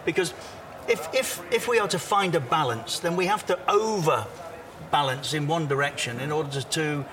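A man in his sixties, an interview guest, giving measured, self-assured answers with occasional restarts. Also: fairly high pitch, recorded from a distance, crowd noise. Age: 60s. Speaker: interview guest, former broadcaster. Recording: outdoor location, audible city noise.

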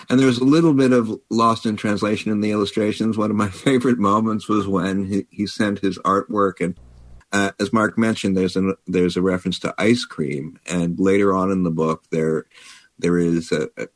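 Male editor in conversation: deep voice, measured and slightly halting, recorded very dry. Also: steady tone, no room sound. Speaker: publisher and editor discussing a book. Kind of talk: conversational interview talk.

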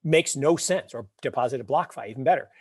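A man in his fifties, a podcast guest, telling wry stories and explaining ideas with emphatic, animated delivery. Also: mid-range voice, quick pace, slightly rough, dry recording, clean studio sound. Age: 50s. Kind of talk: casual interview talk.

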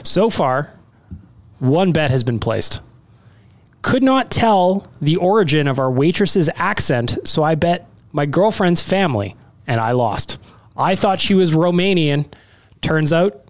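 A man's voice: a little high, animated, a little slow, no echo. A man, co-hosting a casual sports betting podcast, loose and profane, laughing often. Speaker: podcast co-host.

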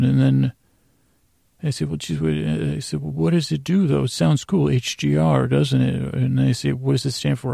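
Gravelly, mumbling man rambling toward bedtime. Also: mid-range voice, mellow, no echo, clean studio sound. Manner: meandering, mumbling, stumbling, with long pauses. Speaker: podcast host.

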